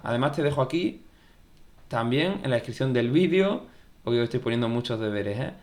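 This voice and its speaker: A young man gives casual, conversational advice about programming studies, often repeating phrases and acting out viewer questions. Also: mid-range voice. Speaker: YouTube tech educator. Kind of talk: casual advice talk to camera.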